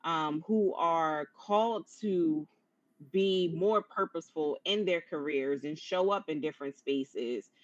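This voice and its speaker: A woman with a low-pitched voice hosting a conversational interview in an encouraging, affirming manner. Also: slow, no echo. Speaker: interview host. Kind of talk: conversational live-streamed interview. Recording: dull lo-fi online stream audio.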